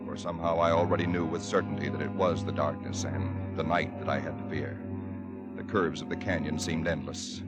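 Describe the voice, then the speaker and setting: An actor playing an anxious, haunted husband, narrating in halting, deliberate 1940s radio style. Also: male voice, very deep voice, level tone, loud music behind the voice. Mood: foreboding. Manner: halting, stammering, deliberate dramatic delivery. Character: haunted, anxious husband recounting a horror with growing dread. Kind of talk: 1940s radio acting, first-person horror narration.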